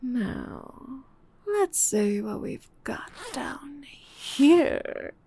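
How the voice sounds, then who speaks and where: A young woman speaking very close to the mic in an intimate audio roleplay, breathy and whispery, with frequent sighs. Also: fairly high pitch, big pitch swings, extremely slow, dark tone.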